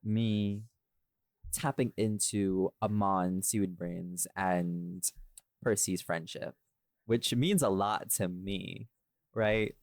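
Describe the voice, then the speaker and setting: Male podcast host, lower-pitched, dry sound, rambling casual opinions with loose profanity. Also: fairly even intonation, slow pace, no room sound. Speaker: podcast host and audio drama writer.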